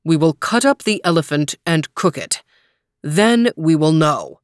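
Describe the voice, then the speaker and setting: A woman's voice: sits low, lively intonation, unhurried, no echo, studio quality. A woman voicing a blunt male court official who was once a baker, in a storybook reading.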